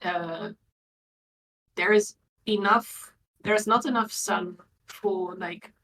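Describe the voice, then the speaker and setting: A woman's voice: mid-range voice, fairly even intonation, slow pace, fairly bright tone, poor audio. A woman talking casually in a group as a tabletop roleplay player, with faint noise in the recording. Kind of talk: casual group worldbuilding chat.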